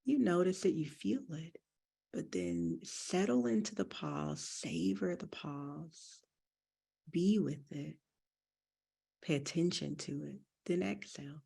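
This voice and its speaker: A woman leading a guided breathing meditation as a teacher, with gentle, deliberate, soothing instruction. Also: on the low side, sing-song, vocal fry, slightly rough.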